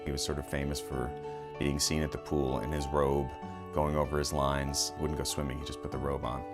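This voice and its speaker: A man's voice: rumbling low, little pitch movement, a little fast. A man casually and affectionately sharing memories for a tribute video. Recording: guitar music underneath. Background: plucked guitar music.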